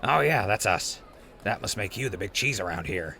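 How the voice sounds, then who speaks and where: A man's voice: fairly low pitch, animated, normal speed, gravelly, bright, forceful tone, exaggerated voice. A man playing a friendly, wisecracking ghoul wastelander in an audio drama, with a casual, joking manner.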